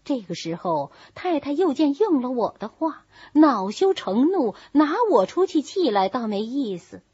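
A woman reading a novel voices a shrewd, flattering young married lady of a rich household in an animated, calculating manner. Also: high voice, measured pace, slightly rough, dry recording, lo-fi sound, heavily acted. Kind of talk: storybook character reading.

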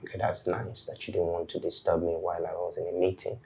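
A man playing a very deep-voiced stern boss. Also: completely flat delivery, fast talker, vocal fry, darkish tone. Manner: cold, clipped, scolding. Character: stern married male company boss.

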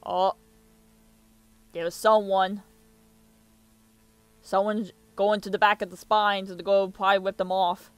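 A man's voice: sits high, flat delivery, takes it slowly, long pauses, a bit bright, smooth, no room sound. A young man giving casual reaction commentary and reading captions aloud, with muttered asides, on a close mic in a small room. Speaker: reaction video host.

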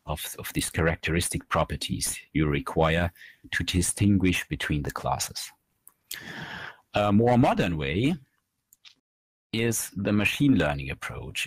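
Low-pitched man lecturing with lively intonation. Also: no echo. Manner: explanatory, step by step. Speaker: researcher giving a conference talk. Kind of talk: technical slide lecture. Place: indoor room, recorded over webcam.

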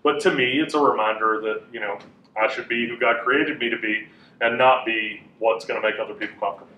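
A man in his thirties teaching a class in a casual, chatty way, with a loud voice that carries and many fillers. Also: medium pitch, steady tone, a little fast, slight background noise. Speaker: Bible teacher. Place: classroom with a whiteboard, indoors. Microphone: room mic from a fixed camera. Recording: echoey room, voice a bit far off.

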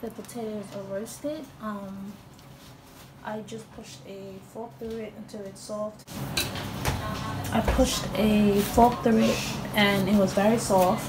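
A woman's voice: medium pitch, flat delivery, takes it slowly, noisy background. A woman casually talking through a home cooking video in her kitchen, hesitant, with many ums and restarts. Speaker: cooking vlogger.

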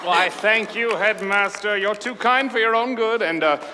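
High-voiced, vain, smarmy heartthrob. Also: male voice, moderate pace, bright, forceful tone. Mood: preening, flirty. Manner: preening, flirtatious. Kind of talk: comic staged musical reading. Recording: live hall with audience noise.

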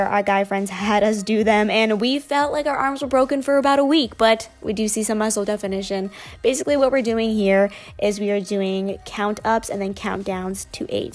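A young woman narrating her gym workout in a casual, upbeat voice-over. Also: medium pitch. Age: young adult. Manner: casual, chatty, upbeat explaining. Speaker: fitness vlogger.

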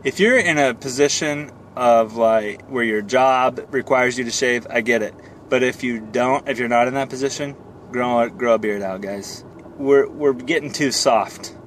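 Joking man vlogging over clear car noise. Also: medium pitch, moderate pace, fairly bright tone. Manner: joking, self-deprecating. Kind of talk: casual rambling vlog to camera. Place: inside a moving car. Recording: car cabin with road and fan noise. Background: car and air noise.

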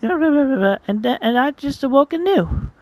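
Woman voicing a high, hopeful young winged creature. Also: lively intonation, slow pace, darkish tone, no echo. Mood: joyful, devout. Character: pious, hopeful young winged female creature, humble servant.

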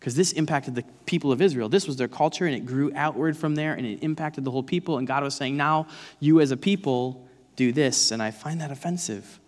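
Male preacher, thirties, mid-pitched, conversational but emphatic, big-hall reverb. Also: fast talker, hardly any pauses, a little mellow. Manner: emphatic, dramatizes points with rhetorical questions. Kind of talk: conversational sermon. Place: large dark church stage, indoors.

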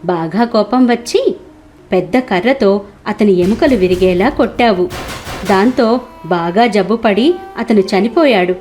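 A woman's voice: medium pitch, unhurried, pauses often, darkish tone, faint music behind, natural voice. A woman voicing a compassionate, all-knowing holy man who solemnly explains things in a cartoon moral story. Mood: solemn, explaining.

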